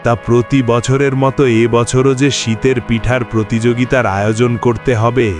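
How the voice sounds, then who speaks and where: A man's voice: mid-range voice, flat delivery, moderate pace, lightly acted. A man playing an authoritative, food-loving village headman in a cartoon, with a lordly manner. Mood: musing. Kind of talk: cartoon moral-tale acting. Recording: music behind the voice.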